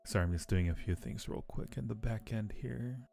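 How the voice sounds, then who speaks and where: A man's voice: fairly low pitch, fairly quick, vocal fry, warm tone, soft background music. A man chatting casually and playfully with viewers on a livestream, teasing them affectionately. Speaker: live streamer hosting a chat.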